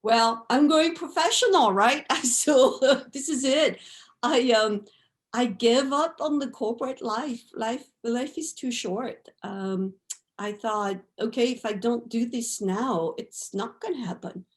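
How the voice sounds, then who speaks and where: A woman's voice: a little high, slow. Woman in her fifties. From France. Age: 50s. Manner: thoughtful, often adds "right?" and "you know". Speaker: guest artist. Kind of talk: reflective interview talk.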